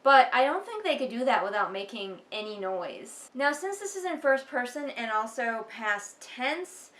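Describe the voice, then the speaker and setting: A woman's voice: fairly high pitch, sing-song, moderate pace, a bit bright, reverberant. A woman giving casual, mocking commentary as she reads along with a book, sarcastic and exasperated. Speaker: host of a book read-along.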